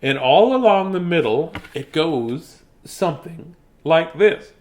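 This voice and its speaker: Playful man with a higher voice. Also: lively intonation, slow pace. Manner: silly, playful, with singsong scatting. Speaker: children's bedtime story reader. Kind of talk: casual, playful bedtime story reading and chat to viewers.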